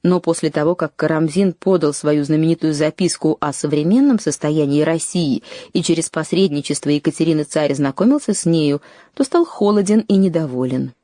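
Lady reading a history doc narration, lowish voice, dry close mic, steady with pushed-up stresses. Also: no room sound. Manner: measured reading, lifting pitch on key phrases. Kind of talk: scripted documentary narration.

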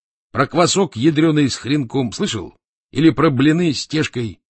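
A man's voice: fairly high pitch, moderate pace, slightly rough, no echo. A man reading an audiobook as a surly male copywriter, a laconic loner with a dry manner. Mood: boastful, sardonic.

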